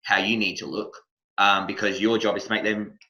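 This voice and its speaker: Deep, bright-toned Australian man, casual. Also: flat delivery, a little fast, low-quality recording. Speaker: podcast host, wrestling manager. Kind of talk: casual podcast chat.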